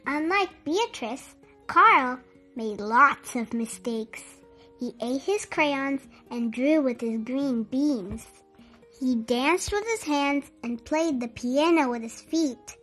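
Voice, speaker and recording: A woman reading a children's book aloud in an animated, deliberate, clearly enunciated storytelling style for young listeners. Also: high voice. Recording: music behind the voice. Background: music.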